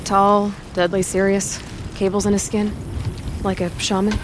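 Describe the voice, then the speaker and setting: Casting: female actor for determined young huntress, video game lead. Mid-range pitch, dry, blunt and inquisitive. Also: little pitch movement, measured pace, background noise, close to an everyday voice. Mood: curious, dry. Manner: direct, probing, dry. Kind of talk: video game character acting.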